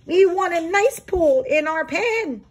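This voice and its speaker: A woman's voice: extremely high pitch, lively intonation, takes it slowly, pitched above the performer's own voice, exaggerated voice. A woman voicing defiant, complaining zoo animals on strike in an exclamatory storybook read-aloud. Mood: indignant.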